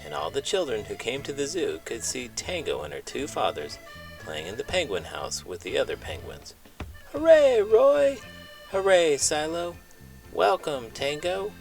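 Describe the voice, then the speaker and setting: A man hosting a children's read-aloud, speaking in an expressive, playful storytelling style. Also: on the low side, normal speed, vocal fry, soft background music, low-quality recording. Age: adult parent.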